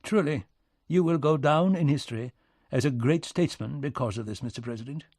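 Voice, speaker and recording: A man's voice: on the high side, animated, a little mellow, no room sound, clean studio sound, pitched above the performer's own voice. Man acting a stiff, courteous aristocrat diplomat. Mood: relieved, flattering. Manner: formal, stiff, measured diplomacy.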